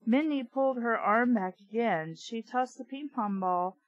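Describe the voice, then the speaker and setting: A woman's voice: fairly high pitch, unhurried, no room sound, dull audio. A woman reading a book aloud casually, with blunt, sweary, opinionated off-the-cuff commentary. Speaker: reader and commentator of a children's book.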